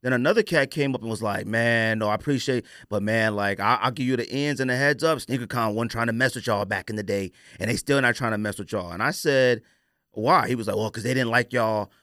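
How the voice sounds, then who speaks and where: A man's voice: medium pitch, brisk, sharp tone, dry recording, studio quality. A man hosting a podcast, telling stories in a casual, colloquial, opinionated way and acting out quoted exchanges. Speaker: podcast host.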